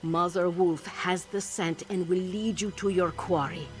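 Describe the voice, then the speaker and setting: Actress as a solemn Nord wolf shamaness, music behind. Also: female voice, lowish voice, fairly even intonation, a little slow, natural voice. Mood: solemn, commanding. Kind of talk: video game character voice acting.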